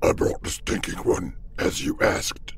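A narrator voicing a gruff, grunting boar-man guard, rough and blunt, in an audiobook story. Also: unhurried, natural voice. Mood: dutiful. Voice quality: gravelly, rough. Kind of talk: audiobook character voice.

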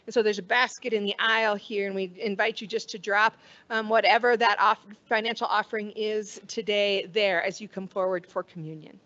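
A middle-aged woman pastor leading communion in a church sanctuary, speaking in a measured, solemn liturgical manner. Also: mid-range voice, steady tone, muffled recording. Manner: measured, warm liturgical delivery with slow solemn phrases. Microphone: stand mic at a lectern, far camera.

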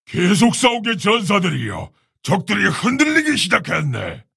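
A man playing a proud, solemn orc warchief in a video game, gravelly and husky, speaking in a commanding, stately, formal way. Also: high-pitched, huge pitch swings, normal speed, sharp tone, dry recording, clean studio sound, heavily acted. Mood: fierce, commanding. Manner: commanding, stately, old-fashioned formal speech. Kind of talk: video game hero voice lines.

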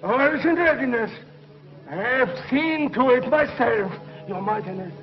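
A man's voice: very high-pitched, animated, a little slow, heavily acted. An actor playing a servile, scheming underworld henchman in a 1950s TV sci-fi serial, reporting obsequiously to his master. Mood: eager, servile. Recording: muffled old TV soundtrack.

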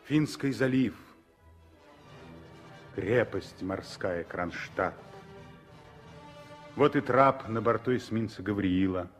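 A man's voice: lowish voice, takes it slowly, long pauses. A man playing the solemn, stirring narrator of a 1960s revolutionary radio drama, in short, weighty, poetic phrases. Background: music.

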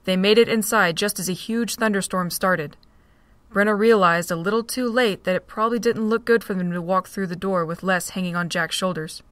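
A woman narrating a fan audio drama with a steady storytelling read. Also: medium pitch, a little fast, no room sound. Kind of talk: scripted narration.

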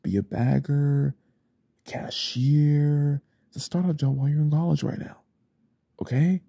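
A man performing an ASMR roleplay as a stressed single father, grumbling under his breath and then yelling in anger. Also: on the high side, a little slow, gravelly, husky, no room sound, clean studio sound. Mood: stern. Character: stressed single father, short-tempered, drinking.

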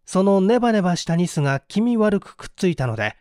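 A man's voice: high voice, very animated, quick pace, a little mellow, dry recording, clean studio sound. A man reading a mystery story as an audiobook narrator, theatrical and suspenseful in his delivery. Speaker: audiobook reader.

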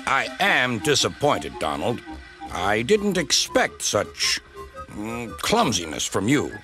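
A man playing a stern, measured railway boss. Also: medium pitch, wildly swooping pitch, over music. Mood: disappointed, stern. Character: stern, fussy male railway controller in authority. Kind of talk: children's storybook character voice.

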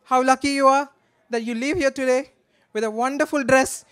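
A man in a notably high, smooth voice. He delivers a best man's toast at a wedding reception, reading from notes into a handheld mic. His delivery is teasing and fond, with a somewhat halting flow. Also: steady tone, a bit bright. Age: 20s. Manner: joking, affectionate, halting delivery. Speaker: best man, groom's brother. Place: wedding reception in a large draped marquee. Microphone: handheld microphone.

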